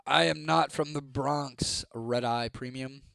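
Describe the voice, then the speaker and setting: A young man from Ohio, chatting casually on a live stream, laid-back and swearing freely, his voice a little hoarse. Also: mid-range voice, fairly even intonation, slow, sharp tone, dry recording. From Toledo, Ohio, United States. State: voice getting hoarse. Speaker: livestreamer. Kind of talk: casual live-stream chat reading comments.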